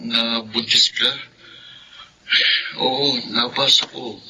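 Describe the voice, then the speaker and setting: A man's voice: medium pitch, fairly even intonation, takes it slowly. A man playing a hesitant, evasive young boyfriend with a Filipino accent in a radio drama. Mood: evasive, reluctant. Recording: dull lo-fi audio with clear noise.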